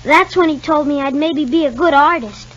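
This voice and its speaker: A woman's voice: high voice, normal speed, fairly bright tone. A woman playing a shy, polite young boy with hesitant answers in a 1950s radio soap. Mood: guarded, innocent. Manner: reticent, short hesitant answers. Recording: dull 1950s radio recording.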